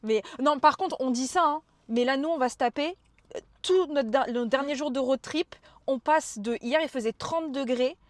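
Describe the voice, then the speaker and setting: Casting: young woman streamer, slightly high-pitched, loud and chatty, informal slang. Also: moderate pace, no echo. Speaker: streamer, travel vlogger. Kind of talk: casual live chat with viewers.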